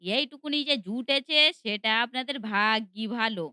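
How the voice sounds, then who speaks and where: A woman's voice: a little high, moderate pace, pushed and bright, clean tone, no room sound, studio quality. A woman voicing a bad-tempered young housemaid in a cartoon, scolding and grumbling. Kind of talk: cartoon dubbing.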